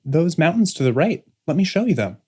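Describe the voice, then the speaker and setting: A woman's voice: low-pitched, big pitch swings, a little fast, mellow, husky, full character voice. A woman playing an anxiously polite airship attendant in an audiobook drama, explaining things in a deferential way. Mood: eager, explaining. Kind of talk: audiobook full-cast drama acting.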